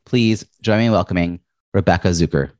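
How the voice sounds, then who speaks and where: A male podcast host, chatty and expressive, introducing and talking with a guest. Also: low voice, brisk, dry recording. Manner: chatty, says he has a loud voice and big presence. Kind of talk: interview podcast.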